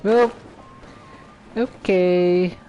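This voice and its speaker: A man giving casual live gameplay commentary, halting and muttering to himself as he plays. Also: sits high, fairly even intonation, mellow, dull audio. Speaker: game streamer. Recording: faint game music underneath.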